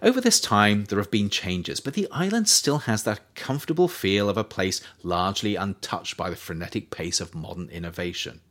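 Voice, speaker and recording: A middle-aged man reading his own book as a scripted audiobook, in a measured, reflective, formal way. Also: fairly low pitch, expressive, fairly bright tone.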